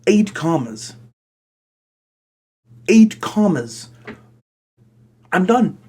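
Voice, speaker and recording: A man's voice: high voice, very animated, very slow, warm tone, husky. A man hosting a comedy video, reading fan fiction in a theatrical way and breaking off with mock-outraged comments. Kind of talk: dramatic comic reading of fan fiction with exasperated asides.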